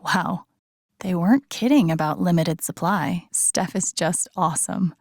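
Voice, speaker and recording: A woman playing an introspective, gently wry young woman in a video game, musing to herself in a naturalistic, low-key way. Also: unhurried, dry recording, clean studio sound. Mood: warm, musing. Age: young adult. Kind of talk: naturalistic game voice acting, inner-monologue remarks.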